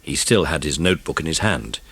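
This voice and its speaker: A man's voice: extremely low pitch, animated, a little fast, fairly bright tone, breathy edge, no room sound, full character voice. A man narrating a dramatised children's storybook. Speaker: audiobook narrator.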